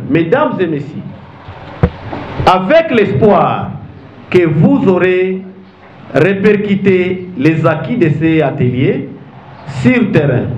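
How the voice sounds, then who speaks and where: A man with a Congolese accent reading a solemn, halting formal opening speech as an official. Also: high-pitched. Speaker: government official opening a conference. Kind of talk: formal speech read to an audience. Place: meeting hall. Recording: echoey room, dull sound, faint noise.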